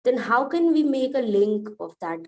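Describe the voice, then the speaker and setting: A woman student politely asking her teacher a question over a video call, halting and rephrasing as she speaks. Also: fairly high pitch, very animated.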